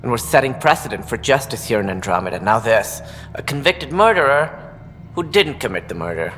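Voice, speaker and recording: An earnest male colony director with a bright, forceful tone, speaking deliberately. His voice carries a long reverb over faint ambience. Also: medium pitch, sing-song, slight background noise.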